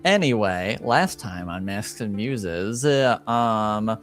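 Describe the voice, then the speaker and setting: A man hosting a tabletop roleplaying stream as game master, speaking casually and haltingly with long pauses. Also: on the low side, animated, a bit bright, faint music behind.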